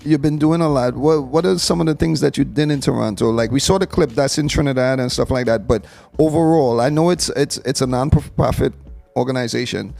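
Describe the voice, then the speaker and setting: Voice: medium pitch, fairly quick, vocal fry, a little mellow, soft background music. An interviewer in casual chat, playful, often stammering and repeating words.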